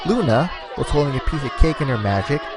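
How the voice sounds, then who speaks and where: A man's voice: medium pitch, expressive, fairly quick, crowd noise. A man narrating a scripted story expressively, as the narrator of an audio fan fiction.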